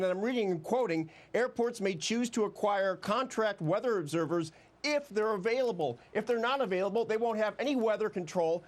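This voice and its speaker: An American man with a distinctly high-pitched voice gives TV legal commentary. He argues his case insistently and leans on rhetorical questions to press each point. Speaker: lawyer, TV legal panelist. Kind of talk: argumentative TV panel commentary.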